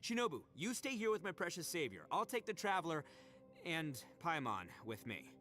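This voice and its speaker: Wildly animated goofy oni boss. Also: male voice, on the high side, normal speed, faint music behind. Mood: determined. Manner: blustering, boisterous. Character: brash, goofy young male horned oni gang boss. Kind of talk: video game voice acting.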